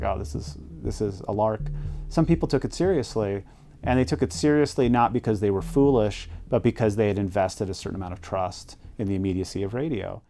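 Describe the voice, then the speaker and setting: A man giving explanatory, reflective commentary in a documentary interview. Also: on the low side. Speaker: expert commentator. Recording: faint background noise.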